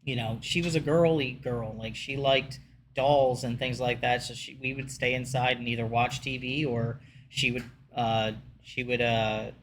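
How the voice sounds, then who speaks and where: A man's voice: steady tone, unhurried, slight background noise. A man co-hosting a podcast, telling stories in a rambling, casual way with many fillers. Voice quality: deep voice like his father's. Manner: rambling, chatty storytelling with fillers. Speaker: podcast co-host. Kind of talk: casual chat.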